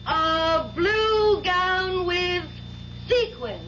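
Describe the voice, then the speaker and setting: An actress playing a young bride-to-be who works as a psychic stage assistant, with theatrical delivery in a vintage radio drama. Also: female voice, very high-pitched, animated, very slow, very noisy, full character voice. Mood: trance-like, theatrical. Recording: dull, band-limited vintage radio recording.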